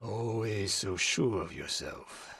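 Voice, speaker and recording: An actor playing an older, stern and distrustful samurai archery master in a video game, gruff, terse, bitter and proud. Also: male voice, lowish voice, slow, a bit bright, heavily acted. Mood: suspicious, bitter. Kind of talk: dramatic video game acting. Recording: orchestral game music behind the voice.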